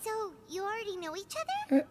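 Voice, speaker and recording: A woman voicing a small childlike fairy companion in an anime game, squeaky, perky and inquisitive. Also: a little fast. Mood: chirpy, curious.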